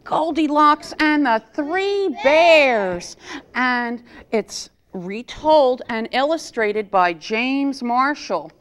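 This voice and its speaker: A woman reading a children's story aloud as a storytime reader, in an expressive, deliberate manner. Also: a little high. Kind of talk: expressive picture-book read-aloud for children.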